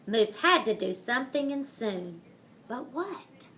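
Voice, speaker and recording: A woman reading a children's picture book aloud in a dramatic, deliberate manner, savoring the rhymes and wordplay. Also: fairly high pitch, big pitch swings, pushed and bright. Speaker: storybook reader.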